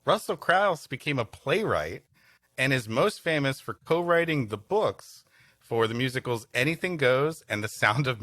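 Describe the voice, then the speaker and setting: Male co-host for casual comic chat, expressive pitch, crisp dry close recording. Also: dry recording. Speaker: podcast co-host. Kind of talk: casual comedic banter.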